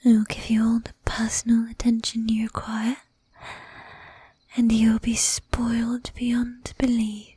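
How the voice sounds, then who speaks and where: Woman playing a breathy, slow-whispering seductive vampire lady. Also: on the high side, monotone, dark tone. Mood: seductive, adoring. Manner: slow, teasing, commanding, with archaic polite phrasing. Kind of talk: whispered ASMR roleplay.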